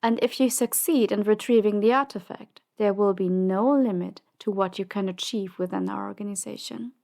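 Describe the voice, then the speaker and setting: A woman playing a commanding, power-hungry crime boss in an audio drama, measured and condescending, with polite menace. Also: mid-range voice, creaky, darkish tone, natural voice. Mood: stern, commanding. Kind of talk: audio drama roleplay acting.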